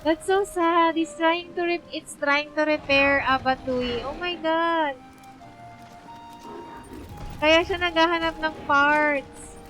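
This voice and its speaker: A woman's voice: sits high, slow pace, pauses often, a bit bright, poor audio. A woman with a Filipino accent chatting casually and emotionally as she livestreams a game, full of exclamations. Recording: music playing behind the voice. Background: game music.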